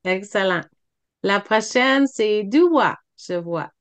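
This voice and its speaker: A woman's voice: medium pitch, animated, takes it slowly, clear, resonant tone, dry recording. A woman leading an online video meeting, handing the floor to each speaker and praising their answers. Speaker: meeting facilitator. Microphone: computer mic on video call.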